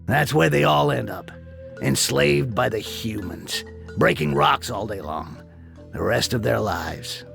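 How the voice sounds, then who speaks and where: A man voicing a cynical, foul-mouthed goblin mercenary in a video game, gravelly and sardonic. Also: medium pitch, expressive, a little slow, music in the background. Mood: grim, sardonic. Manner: sardonic, streetwise, crude. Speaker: character narrator.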